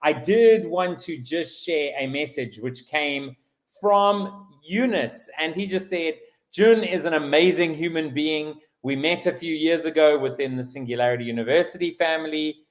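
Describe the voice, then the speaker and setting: A man hosting a live stream interview over a video call, talking haltingly with many restarts and fillers. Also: a little high. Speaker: host.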